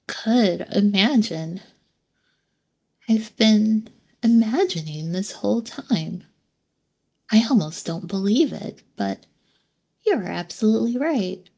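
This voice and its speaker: A woman voicing a gentle, curious female android in an ASMR roleplay, soft-spoken and formal, with hesitant pauses. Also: lowish voice, creaky, heavily acted. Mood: amazed. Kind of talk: ASMR roleplay, scripted monologue.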